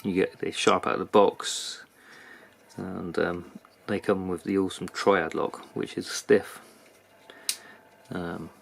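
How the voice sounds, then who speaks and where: A man with a British accent giving a casual, unscripted product review in a halting manner. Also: sits low, steady tone, gravelly. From United Kingdom. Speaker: knife reviewer. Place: indoors at a workbench.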